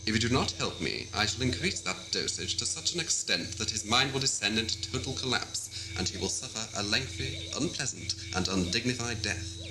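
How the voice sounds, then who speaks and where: A man's voice: lowish voice, expressive, a little fast, few pauses, music in the background, poor audio. A man playing a smug, arrogant renegade alien villain with pompous, formal diction in an amateur audio drama. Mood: cold, threatening.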